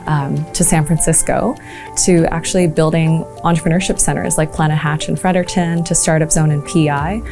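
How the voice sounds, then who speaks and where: A woman from Malaysia talking earnestly about her work supporting entrepreneurs, with frequent ums and uhs. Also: deep voice. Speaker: entrepreneurship program leader.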